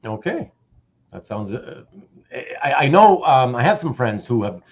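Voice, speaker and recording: A man hosting a casual livestream chat, speaking haltingly and often repeating words and phrases. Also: mid-range voice, sing-song, darkish tone, breathy edge. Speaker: host of a live show. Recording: dull, muffled lo-fi audio.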